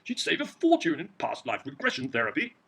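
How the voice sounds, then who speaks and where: A woman voicing an eccentric, smug, pedantic know-all in a comedy reading, with a raspy, creaky voice. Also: low-pitched, huge pitch swings, brisk, full character voice. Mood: smug explaining. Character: eccentric pipe-smoking know-all inner personality, cheeky and smug.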